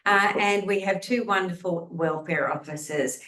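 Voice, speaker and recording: Woman host, upbeat introductions, low-pitched, roomy echo. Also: moderate pace, pushed and bright. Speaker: course lead. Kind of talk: hosting a video meeting. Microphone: video call.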